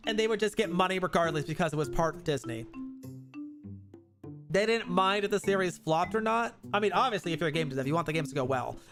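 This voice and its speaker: A man with a high-pitched, very lively voice hosts a casual gaming stream. He talks in a chatty, rambling way, often correcting himself as he goes. Music plays clearly behind his voice. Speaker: livestream host. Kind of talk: casual gaming commentary, reviewing and ranking games. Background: background music.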